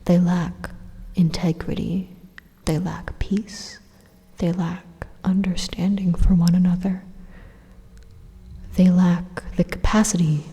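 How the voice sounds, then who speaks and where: A woman playing an evil, persuasive supercomputer in an ASMR roleplay, calmly menacing in a breathy, hushed, deliberate monologue with dramatic pauses. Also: on the low side, exaggerated voice. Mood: grave, persuasive. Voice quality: breathy, whispery. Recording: long hollow reverb on the voice.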